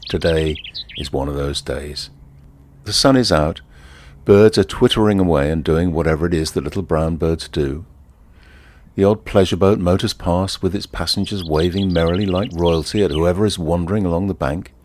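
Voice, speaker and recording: An actor playing a wry, easygoing ordinary bloke who confides in the listener in a leisurely first-person monologue. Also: male voice, low-pitched, normal speed, darkish tone, dry recording. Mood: tranquil, musing. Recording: outdoor ambience with birdsong behind. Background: birdsong and outdoor ambience.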